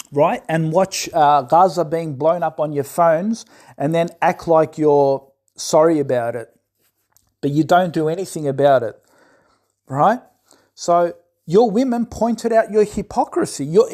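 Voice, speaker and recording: A man's voice: high voice, darkish tone. A man in an impassioned, scolding monologue who keeps asking "right?". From Australia. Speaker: commentator.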